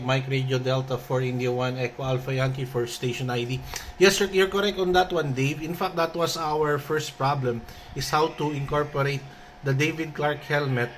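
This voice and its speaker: A man with a Filipino accent speaking haltingly in an amateur radio conversation, often repeating words. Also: medium pitch, a bit bright, slight background noise. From Philippines.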